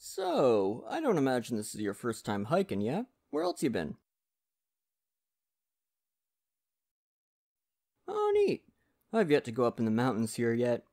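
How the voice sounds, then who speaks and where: A performer voicing a friendly, poetic male lumberjack, chatty and gently courteous, in an ASMR roleplay addressed to the listener. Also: mid-range voice, wildly swooping pitch, normal speed. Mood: easygoing, chatty.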